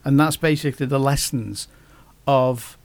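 Man, gravelly, mid pitch, halting, restarts a lot, casual chat. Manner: halting, many restarts and fillers. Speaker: guest. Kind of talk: casual podcast conversation.

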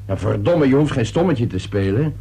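Gruff, animated middle-aged father. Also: mid-range voice, normal speed. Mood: defensive, irritated. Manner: gruff, impatient, blustering. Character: irritable, defensive middle-aged father and businessman. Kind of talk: radio play acting. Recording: noisy old radio play recording.

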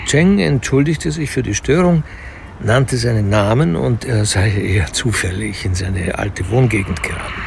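An actor as the sober narrator of a radio detective drama, reading literary prose in a gravelly, creaky voice. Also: male voice, on the low side, moderate pace, a little hiss or hum. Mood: sober, detached.

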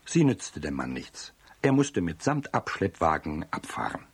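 A man's voice: sits low, very animated, unhurried, slightly rough, heavily acted. A man as an amused, friendly narrator telling a children's radio story in scripted prose. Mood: amused narration.

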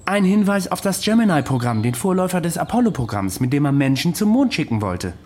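An actor playing an eccentric, self-assured psychiatric patient who lectures insistently in a radio drama. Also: male voice, on the high side, sing-song, quick pace, darkish tone. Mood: insistent, lecturing. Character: eccentric male psychiatric patient, insistent conspiracy storyteller, cocky. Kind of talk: German radio drama acting.

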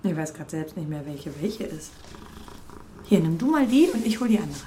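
A woman's voice: low-pitched, sing-song, moderate pace, dark tone, slight background noise. A woman playing a frank, wry young woman on a date in a naturalistic audio drama, casual and teasing in manner. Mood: casual, curious. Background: music.

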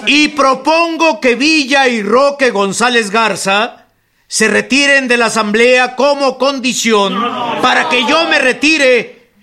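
An actor playing a revolutionary general making formal, declamatory speeches in a radio drama. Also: male voice, extremely high pitch, takes it slowly, pushed and bright, exaggerated voice. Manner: declamatory, formal public speaking. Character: male revolutionary general addressing a rowdy assembly. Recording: crowd noise behind the voice.

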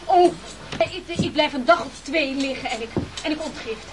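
A man's voice: very high-pitched, normal speed. A male actor playing a frantic, pleading castaway in a radio play, stammering and evasive. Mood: nervous, pleading. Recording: very noisy old radio recording.

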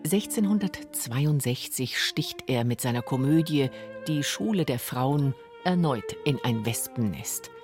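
A woman's voice: very deep voice, animated, a little slow, few pauses, over music. A woman narrating a scripted radio documentary.

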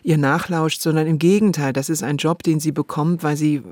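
A woman's voice: low voice, fairly even intonation, normal speed, a little mellow, no room sound. Woman talking thoughtfully, close dry sound. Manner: thoughtful, searching for words mid-sentence. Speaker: podcast co-host. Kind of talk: unscripted conversation about radio plays.

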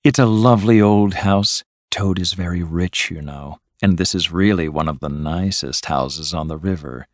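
A man voicing a sensible, loyal river rat from a storybook in a measured, serious manner. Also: low voice, no room sound. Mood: fond. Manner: measured, serious, matter-of-fact. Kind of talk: storybook character voice.

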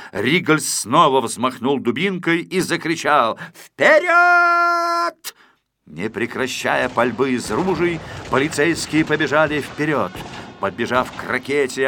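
Male narrator for a children's adventure audiobook: bright tone, highly varied intonation, expressive scripted reading.

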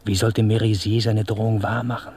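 An actor playing a man's coolly reasoning, insistent inner voice in an old German radio crime drama, measured and persuasive. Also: fairly low pitch, little pitch movement, a little mellow, natural voice. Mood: cool persuasion. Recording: noisy vintage radio play recording.

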